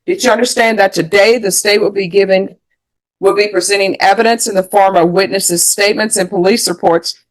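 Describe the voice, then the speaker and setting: A woman's voice: medium pitch, steady tone, a little fast, rough recording. An American woman speaking as a presiding judge, putting formal, methodical procedural questions in court. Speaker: judge presiding over a plea hearing.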